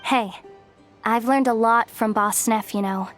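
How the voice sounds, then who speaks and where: A woman's voice: a little high, steady tone, measured pace, soft background music, lightly acted. An actress playing a loyal, kind-hearted, streetwise young woman in a video game, speaking in an earnest, plucky, slightly guarded way. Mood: defiant, guarded. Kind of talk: dramatic video game voice acting.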